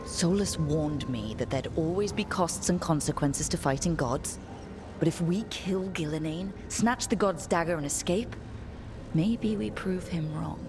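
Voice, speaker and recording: An actress playing a resolute fantasy team leader in a video game, decisive and commanding. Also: female voice, low voice, unhurried, noisy background. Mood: steady resolve. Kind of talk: video game drama acting.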